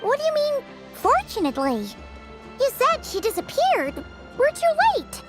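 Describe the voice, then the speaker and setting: A woman voicing a tiny, excitable, naive fairy companion girl in a video game, with a childlike, wide-eyed way of talking. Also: very high voice, normal speed, heavily acted. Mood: confused. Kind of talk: scripted video game character dialogue. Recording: loud music under the voice.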